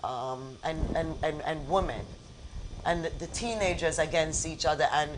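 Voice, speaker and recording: A woman in her forties recounting a personal story in conversation, halting and repeating words for emphasis. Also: low voice, noisy background. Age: 40s. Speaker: interview guest, bereaved mother.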